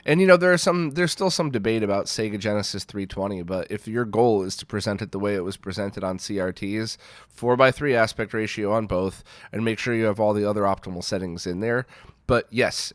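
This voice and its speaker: Brief: stuffy-nosed male host, lowish voice, rambly and self-deprecating, dry close sound. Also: no echo. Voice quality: nasal. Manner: rambling, self-deprecating, with frequent fillers and restarts. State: nasal from a cold. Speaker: host of a retro gaming Q&A. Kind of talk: casual Q&A talk.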